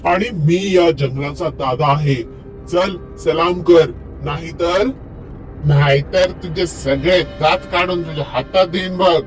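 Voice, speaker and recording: Man as a swaggering higher-pitched cartoon wolf. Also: steady tone, takes it slowly, over music, rough recording. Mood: menacing. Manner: bossy, menacing, smug. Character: swaggering conceited male wolf, jungle bully. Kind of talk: children's cartoon story acting.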